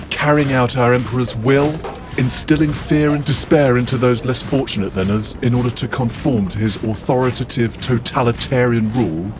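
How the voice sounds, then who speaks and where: A man's voice: mid-range voice, lightly acted. A man voicing a self-righteous demigod warlord who lectures earnestly and indignantly. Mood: smug. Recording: steady background noise.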